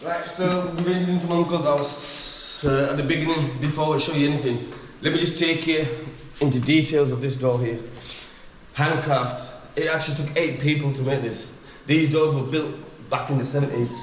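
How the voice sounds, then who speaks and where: Man, slightly high voice, rambling joking tour guide, light hiss behind. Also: fairly even intonation, moderate pace, reverberant, poor audio. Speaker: vlogger. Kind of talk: casual chat, touring a village house. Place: old family house in a village, indoors and on upper floor.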